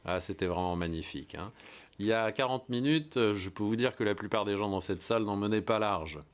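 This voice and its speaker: A man's voice: lowish voice, fairly quick, clear, resonant tone. Enthusiastic man commentating a rocket launch. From United States. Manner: enthusiastic, conversational, many hesitations. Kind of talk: live event commentary.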